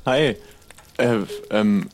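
A man's voice: on the low side, drawn-out words, darkish tone, faint music behind. A man speaking casually and politely, a little tentative, as a player improvising in a role-play.

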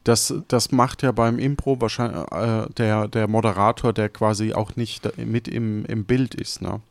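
A man chatting casually and reflectively as a podcast host, feeling his way through his sentences haltingly. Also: lowish voice, steady tone, vocal fry, no echo. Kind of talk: casual chat.

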